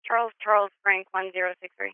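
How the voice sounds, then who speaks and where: A woman's voice: mid-range voice, fairly even intonation, fast, clear, resonant tone, no room sound, narrow, tinny audio. A woman working as a police dispatcher, giving terse readbacks over a radio heard on a scanner.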